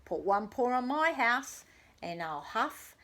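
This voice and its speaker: A woman voicing a lazy, horrid little male pig from a storybook, rude and defiant, shouting threats. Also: on the high side, sing-song, slow pace, exaggerated voice. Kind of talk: children's storybook character voice.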